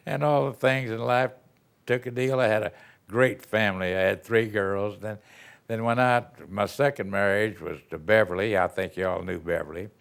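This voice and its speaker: A man's voice: fairly low pitch, steady tone, normal speed, gravelly, a bit bright, clean tone. An older man from Texas, a retired band director, telling rambling, folksy stories in an interview. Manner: rambling, folksy storytelling with chuckles. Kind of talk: reminiscing interview answers.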